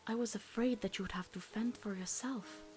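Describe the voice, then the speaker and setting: A woman voicing a tender, proud mother in a cartoon comic dub, soft and reflective. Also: mid-range voice, a little fast, faint music behind, lightly acted. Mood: wistful, proud. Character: tender, proud mother moved by her daughter. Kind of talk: emotional animated comic dub acting.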